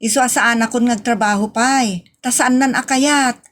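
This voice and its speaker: A woman's voice: on the high side, steady tone, moderate pace, natural voice. A woman acting a proud but anxious young woman in love in a radio drama. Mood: respectful, happy.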